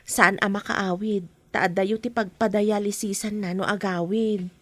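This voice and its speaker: A woman's voice: mid-range voice, fairly even intonation, normal speed, no room sound, lightly acted. A woman with an Ilocano accent playing an earnest younger woman in an audio drama. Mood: subdued, respectful.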